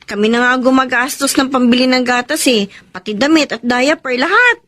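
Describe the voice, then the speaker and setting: Outspoken younger sister, high-pitched. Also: female voice, fairly quick. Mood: exasperated, demanding. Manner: blunt, chiding, expressive. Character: outspoken, protective younger sister of a village family. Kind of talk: radio drama acting.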